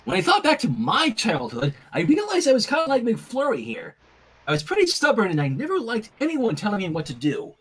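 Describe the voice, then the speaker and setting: A man's voice: high voice, wildly swooping pitch, brisk, fairly bright tone, slightly rough, heavily acted. A man voicing a brash, cocky young woman with a casual, confident and slangy way of talking in a story reading.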